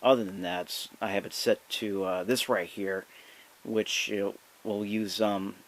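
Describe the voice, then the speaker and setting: A young man giving a casual, unscripted product demonstration in a halting, hesitant, apologetic way. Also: fairly low pitch, fairly even intonation. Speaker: amateur product reviewer.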